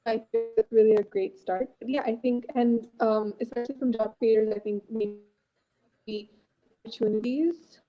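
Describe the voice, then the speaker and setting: A woman's voice: fairly high pitch, fairly even intonation, moderate pace, soft background music. A woman speaking as a guest in an informal online panel, hesitant and earnest, over a dull internet call. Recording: muffled, band-limited internet call.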